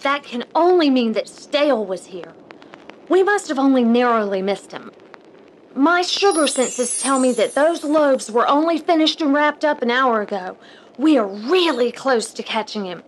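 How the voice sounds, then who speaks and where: Woman doing goody-goody cartoon super lady, high lively voice, prim, faint noise behind. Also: moderate pace, poor audio. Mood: determined. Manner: prim, instructive, heroic. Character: wholesome, earnest cartoon superheroine. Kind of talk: old superhero serial parody.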